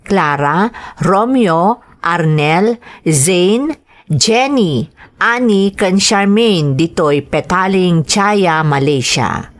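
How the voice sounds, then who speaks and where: A woman radio host with an Ilocano accent and a mid-range voice. She reads scripted material aloud, drawing out the ends of phrases. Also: mid-range voice. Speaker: radio drama host reading greetings and a listener's letter.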